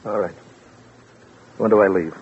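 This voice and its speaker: A man's voice: lowish voice, unhurried. A man acting an anxious, trusting fugitive, hesitant and subdued, in a 1940s radio drama. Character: anxious, trusting male fugitive, dazed and submissive. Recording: muffled, noisy vintage radio recording.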